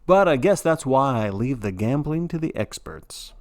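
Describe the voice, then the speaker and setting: A man's voice: mid-range voice, expressive, normal speed, natural voice. A man playing a jovial, showy sports broadcaster who calls grim events with blithe, folksy cheer in play-by-play style. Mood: excited, solemn. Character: jovial male sports broadcaster of a dystopian death march.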